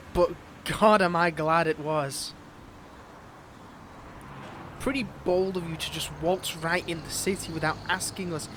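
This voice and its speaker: Male villain, played by an actor for romantic audio drama: scorned ex, mocking and seductive, teasing drawn-out delivery, clearly noisy outdoor backdrop. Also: a little high, natural voice. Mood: smug menace. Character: flirtatious, taunting male villain, a bitter scorned ex-lover. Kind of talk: dramatic audio roleplay acting addressed to listener.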